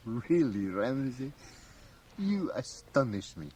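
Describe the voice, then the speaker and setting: An actor playing a very old, mischievous and learned priest in a radio drama, with a gravelly aged voice and sly, chuckling asides. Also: male voice, mid-range voice, a little mellow. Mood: amused. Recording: faint hiss, home cassette radio transfer.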